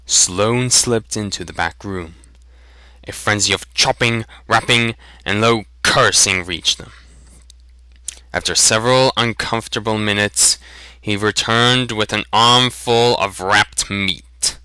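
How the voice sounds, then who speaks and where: A man's voice: on the low side, slow pace, pushed and bright, clear, resonant tone, dry recording. A man giving a scripted dramatic narration of a fantasy story.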